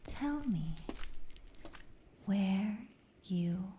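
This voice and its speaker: A woman voicing an obsessive, sadistic young yandere captor in a soft, breathy ASMR roleplay, half-whispering with sweet menace. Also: mid-range voice, animated, slight background noise. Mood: wistful, menacing. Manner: slow, breathy half-whispers broken by giggles. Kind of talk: ASMR roleplay, intimate dramatic monologue.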